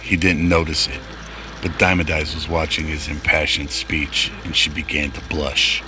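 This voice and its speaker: A man's voice: a bass voice, little pitch movement, moderate pace, background noise. A man narrating a scripted sci-fi radio drama.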